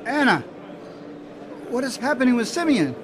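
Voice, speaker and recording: An actor playing an astonished, questioning temple elder in a Bible audio drama. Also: male voice, very high voice, sing-song, moderate pace, music in the background.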